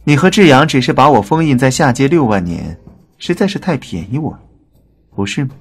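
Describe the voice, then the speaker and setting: A man acting a husky, scornful god. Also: mid-range voice, animated, fairly quick. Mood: mocking, bitter. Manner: scornful, challenging delivery. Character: proud, sardonic male god, ruthless and defiant. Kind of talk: dramatic audio drama acting.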